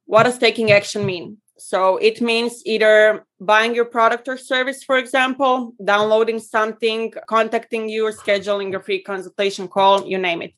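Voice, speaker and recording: A woman's voice: fairly high pitch, fairly even intonation, normal speed, hardly any pauses, a bit bright. A woman from Croatia, a copywriter and podcast guest, explaining her work in a friendly way with light laughs. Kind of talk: interview answers.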